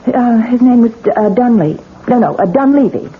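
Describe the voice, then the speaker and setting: A woman's voice: a little high, lively intonation, moderate pace, lightly acted. A woman playing a newlywed wife who insists to a doctor and a police lieutenant that she is sane. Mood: guarded. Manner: tense, sharp, pushes back. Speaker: Mrs. Renard, patient in hospital. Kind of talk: 1970s radio drama acting. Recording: tinny lo-fi 1970s radio broadcast with hiss.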